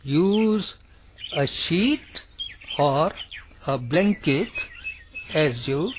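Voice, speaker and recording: Very slow-paced man guiding meditation. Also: fairly high pitch, lively intonation, noisy background. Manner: deliberate short phrases with long pauses. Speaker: guided meditation instructor. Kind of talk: slow guided yoga nidra meditation narration.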